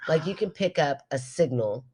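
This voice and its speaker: A woman's voice: low-pitched, lively intonation, moderate pace, darkish tone, husky. A woman hosting a podcast in casual chat, talking in a bantering, playfully argumentative way with her co-host.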